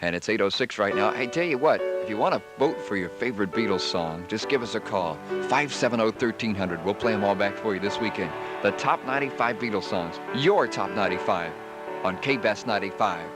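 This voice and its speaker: A man's voice: fairly low pitch, expressive, brisk. An American man working as a radio DJ, delivering music patter and news in a 1970s style. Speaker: radio DJ and newsreader.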